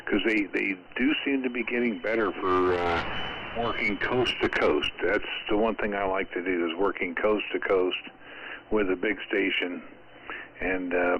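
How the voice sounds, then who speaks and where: A dull radio signal with faint noise carries a man's lowish voice. He is a ham operator chatting casually, wandering from thought to thought with halting phrasing. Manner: rambling, halting. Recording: dull, faintly noisy amateur radio transmission.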